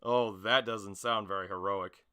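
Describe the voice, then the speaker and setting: A man's voice: fairly low pitch, steady tone, measured pace, sharp tone, smooth, pitched below the performer's own voice. A man playing a brash, spirited teenage boy superhero student in an anime dub, with punchy, emphatic delivery. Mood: teasing, disapproving. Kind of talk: anime dub audition acting.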